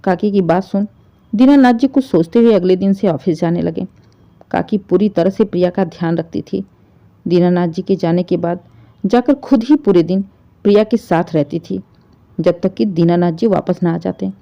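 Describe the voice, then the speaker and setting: A woman's voice: fairly low pitch, moderate pace, lo-fi sound. A woman narrating an emotional family story in a storyteller's style.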